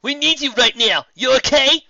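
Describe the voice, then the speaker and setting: A man voicing an urgent pony companion, pitched higher and pressing, on a dry, slightly dull recording. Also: expressive, normal speed, creaky, sharp tone, no echo, exaggerated voice.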